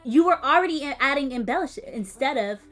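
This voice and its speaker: A young woman with a light, fairly high voice talks straight to camera as a music educator. She is emphatic and animated, leaning hard on key words and handing out direct advice, with faint music underneath. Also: a little fast. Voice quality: light-sounding voice. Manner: direct, teacherly advice to the viewer. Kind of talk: casual commentary and performance critique.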